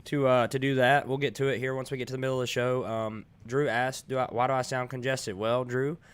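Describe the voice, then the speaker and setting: A congested man co-hosting a live podcast, explaining things in an easygoing, measured casual chat. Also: medium pitch, level tone, clean tone. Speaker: podcast co-host.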